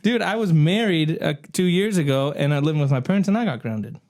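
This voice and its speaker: A man's voice: a little high, lively intonation, brisk, a little mellow. A man hosting a podcast in a casual, informal group chat among co-hosts. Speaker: podcast host.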